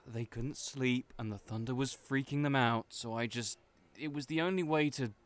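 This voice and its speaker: A man playing a kind, gentle friend in an audio roleplay, who speaks hesitantly with frequent fillers. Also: medium pitch, moderate pace, close to an everyday voice.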